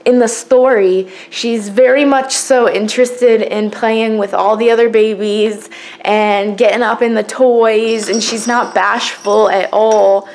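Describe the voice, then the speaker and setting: A woman's voice: on the high side, fairly even intonation, unhurried, darkish tone. A young woman chatting casually and ramblingly to camera at home, in the manner of a family vlogger. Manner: rambling, chatty self-narration. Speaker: family vlogger, mother of a baby. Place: at home, indoors. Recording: clearly noisy home recording with clicks.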